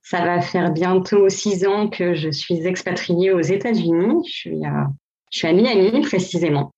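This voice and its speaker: Woman, thirties, low-pitched, hesitant, filler-heavy, muffled lo-fi sound. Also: a little slow, darkish tone. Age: 30s. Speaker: podcast guest, brand founder. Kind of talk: casual interview talk. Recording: dull lo-fi sound.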